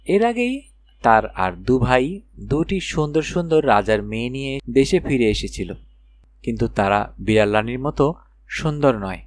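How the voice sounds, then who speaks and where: A man's voice: medium pitch, sing-song, measured pace. A man narrating a children's fairy tale expressively as an audiobook reader.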